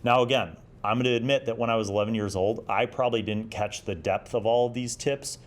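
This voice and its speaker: A man's voice: medium pitch, steady tone, fairly quick. A man in his forties hosting a review show, speaking in a conversational, explanatory and encouraging way as he gives commentary and reads excerpts. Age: 40s. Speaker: host of a tabletop roleplaying review show. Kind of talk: commentary on magazine articles, reading excerpts and adding advice.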